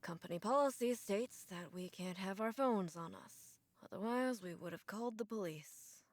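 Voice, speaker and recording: A woman's voice: medium pitch, normal speed, no echo, natural voice. A woman voicing a matter-of-fact student in a visual novel. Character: matter-of-fact student trapped among classmates.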